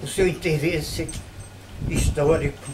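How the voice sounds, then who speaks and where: An older man recalls local history, his voice a little high for a man. He talks slowly, in short phrases, and keeps checking in with tag questions. Noisy outdoor ambience sits clearly behind him. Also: steady tone. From Bahia, Brazil. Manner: unhurried, with frequent tag questions. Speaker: local storyteller interviewee. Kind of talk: reminiscing interview. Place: historic old mansion.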